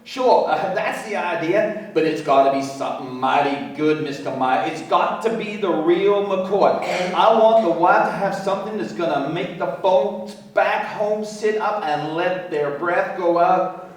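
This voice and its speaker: A wealthy, boastful American businessman in a radio play. He talks in a high-pitched, folksy, garrulous way full of slang. The sound is very echoey and set back from the microphone. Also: measured pace, distant mic. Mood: insistent. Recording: very echoey room, off mic.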